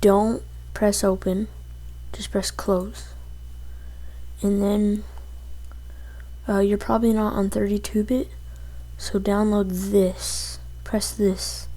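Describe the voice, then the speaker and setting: A woman presenting a casual software tutorial as she goes through the steps on a computer. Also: medium pitch, little pitch movement, slow, long pauses.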